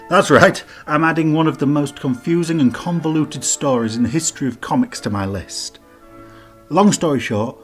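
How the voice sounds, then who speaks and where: A man giving informal scripted narration as the host of a countdown list video. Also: mid-range voice. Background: background music.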